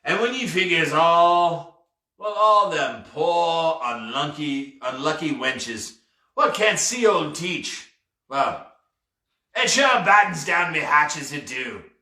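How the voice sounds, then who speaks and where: A man voicing the sly, blustering ghost of a burly pirate captain in a storybook, with archaic pirate dialect and deliberate phrasing. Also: a little high, lively intonation, bright, forceful tone, full character voice. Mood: mournful. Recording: roomy, echoey, distant mic.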